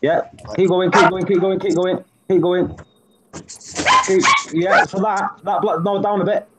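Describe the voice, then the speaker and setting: A man's voice: sits high, flat delivery, unhurried, heavy background noise. A man in a casual group chat, coaching others step by step through a task. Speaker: chat participant.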